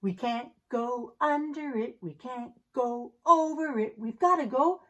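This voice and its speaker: A woman telling a children's picture-book story in an expressive, theatrical way, drawing out words for young listeners. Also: on the high side, a little slow. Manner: addresses young listeners directly. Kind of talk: animated children's story time, chanted picture-book reading.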